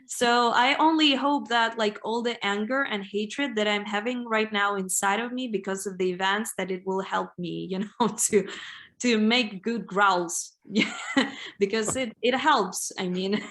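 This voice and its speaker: A woman's voice: mid-range voice, steady tone, measured pace, a bit bright. A young woman from Ukraine with a Ukrainian accent, a band singer answering interview questions in a chatty way full of "like" and "you know". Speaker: band vocalist, interview guest.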